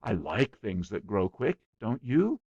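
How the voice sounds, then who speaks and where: A man's voice: lowish voice, very animated, measured pace, a little mellow, breathy edge, no echo, muffled recording, exaggerated voice. A man voicing a stubborn, boastful small boy who chatters eagerly. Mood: eager boasting.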